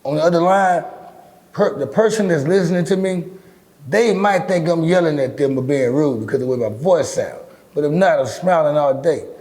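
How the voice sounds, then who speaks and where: A middle-aged man with a deep, raspy voice, talking bluntly and with lots of swearing as an interview guest. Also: rough recording. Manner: blunt, profane, animated storytelling. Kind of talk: casual interview talk.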